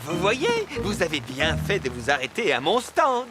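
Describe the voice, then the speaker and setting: An actor voicing a pushy, fast-talking fox salesman in a cartoon dub, with an eager, persuasive patter. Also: mid-range voice, huge pitch swings, over loud music.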